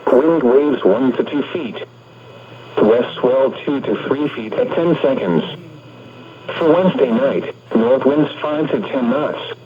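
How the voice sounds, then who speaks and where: A muffled, noisy radio broadcast carries a synthetic American male voice. He sits at a medium pitch and reads marine weather in an impersonal, formulaic announcer's delivery. Static-like noise runs under the dull sound. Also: animated, slow. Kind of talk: scripted marine forecast readout.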